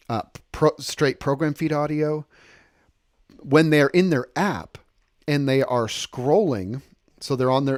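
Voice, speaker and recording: A man with a medium-pitched voice walks listeners through software on a shared screen. He explains informally, one step after another, and circles back to stress important points. The recording is dry, with very little room sound. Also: no echo. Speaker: software instructor and demonstrator.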